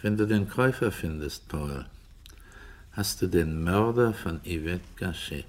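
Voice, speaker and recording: An actor playing a brooding, sceptical investigator in a German radio crime drama, deliberate and terse in his delivery. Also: low voice, animated. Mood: sceptical, determined. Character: brooding, sceptical male investigator, calm and stubborn.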